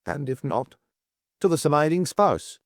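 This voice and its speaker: Man acting a brisk, guarded male lawyer, lifted pitch. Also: sing-song, no echo, clean studio sound. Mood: formal, businesslike. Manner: formal, officious, insistent. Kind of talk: audiobook character dialogue.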